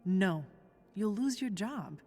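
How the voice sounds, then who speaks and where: Mellow, determined young ally. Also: female voice, mid-range voice, lively intonation, takes it slowly, soft background music. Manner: reassuring, firm, casual. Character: steady, determined young woman ally, protective and reassuring. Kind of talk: naturalistic video game acting.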